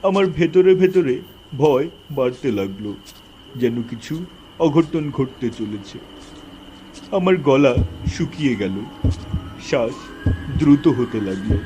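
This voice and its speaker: A man's voice: mid-range voice, expressive, measured pace, long pauses, dark tone, a little hiss or hum, low-quality recording. A man narrating a scripted horror story in the first person, in a dramatic style. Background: music, night insects.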